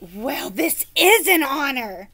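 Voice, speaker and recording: Lady doing a gushing folksy peasant mum from a kids book, high voice, all flustered, swoops around. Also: very animated, takes it slowly, bright, forceful tone, exaggerated voice. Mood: hospitable. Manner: folksy, gushing, rustic grammar. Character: chatty, flustered, shabby peasant mother, eager to please.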